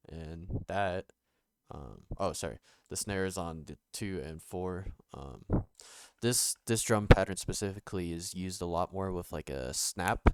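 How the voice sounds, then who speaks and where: A young man with a nasal voice, recovering from being sick, talking casually through a music production tutorial with many pauses and fillers. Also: a little hiss or hum. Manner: halting, many ums and restarts, low-key. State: recovering from illness. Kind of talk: casual screen-recorded tutorial.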